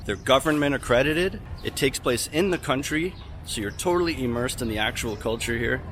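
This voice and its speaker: A young man from Canada talking casually to camera as a vlogger about teaching abroad, relaxed and chatty with many fillers. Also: medium pitch, a little fast, over music. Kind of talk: casual vlog talk to camera. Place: outdoors in a city street. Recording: outdoor street noise behind.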